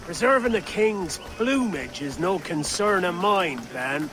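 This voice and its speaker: An actor voicing a fiery, defiant pirate leader, scornful and impassioned, in a video game cutscene. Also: male voice, sits high, lively intonation, measured pace.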